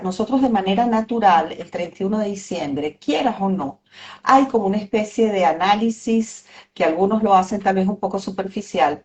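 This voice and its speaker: A woman with a Venezuelan accent, a psychologist guest speaking thoughtfully on a video call, pausing often with "eh". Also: mid-range voice. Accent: Venezuelan Spanish. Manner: warm, thoughtful, with many "eh" pauses. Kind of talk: interview over a video call.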